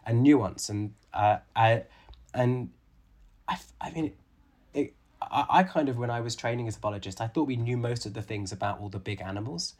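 A man's voice: mid-range voice, normal speed, a little mellow. A man in his late thirties, a biologist being interviewed, talking thoughtfully with many restarts and fillers. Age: 30s. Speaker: guest, biologist and author. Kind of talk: conversational interview.

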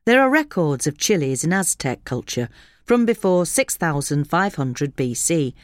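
A woman's voice: low voice, very animated, normal speed, no room sound, clean studio sound. A woman reading a gardening article aloud as a scripted magazine narration.

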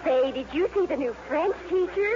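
An actress playing an excitable, bossy teenage high school girl in gushing 1950s radio drama style, on a muffled vintage broadcast. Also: moderate pace. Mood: bubbly, bossy. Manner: gushing, slangy, exclamatory.

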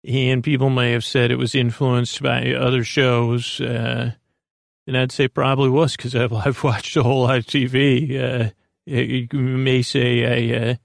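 A man with a creaky voice giving a lulling, meandering, halting bedtime podcast monologue as host, in a self-deprecating way. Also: medium pitch, little pitch movement, no echo, studio quality. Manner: lulling, soothing.

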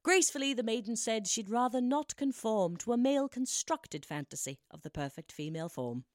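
A woman's voice: a little high, animated, fairly quick, no room sound. A woman co-hosting a podcast, narrating a rhyming comic story in a playful, theatrical way.

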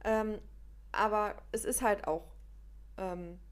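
A woman with a regional German dialect and a slight speech impediment co-hosts a casual podcast. She talks at a middling pitch, hesitantly, with frequent fillers and restarts. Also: fairly even intonation, slow pace. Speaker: podcast co-host.

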